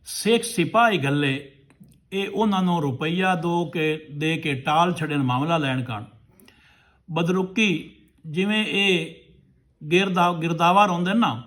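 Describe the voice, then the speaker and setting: A man's voice: a little high, a bit bright. A man telling a folk tale to listeners as a storyteller, in short rustic phrases.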